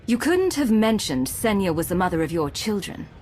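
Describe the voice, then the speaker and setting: Actress as an animated, challenging female commander. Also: medium pitch, moderate pace, very noisy, natural voice. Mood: indignant. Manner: direct, challenging. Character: guarded, indignant female military commander, strong-willed. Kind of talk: video game voice acting.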